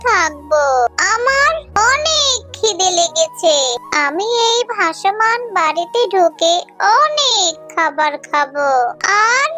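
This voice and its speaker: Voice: extremely high pitch, bright, forceful tone, exaggerated voice. A performer voicing a young fox girl in a children's cartoon, with a plaintive, trusting, childlike delivery. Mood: grateful, happy.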